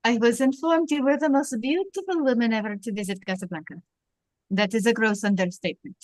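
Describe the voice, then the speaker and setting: A woman playing a suave, flattering French police captain in an amateur script read-through, with a French-accented voice and a courtly manner. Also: fairly high pitch, normal speed, dry recording.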